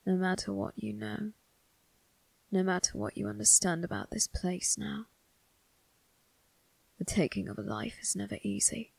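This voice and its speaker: A woman voicing a brooding, cold but protective young male vampire crime boss in an ASMR roleplay, speaking formally and deliberately in a raspy, breathy voice that dips into whispers. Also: medium pitch, level tone, a little mellow, dry recording, exaggerated voice, low-quality recording. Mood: stern, protective. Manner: deliberate, formal, menacing, drawn-out phrasing. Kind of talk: ASMR roleplay, dramatic monologue addressed to listener.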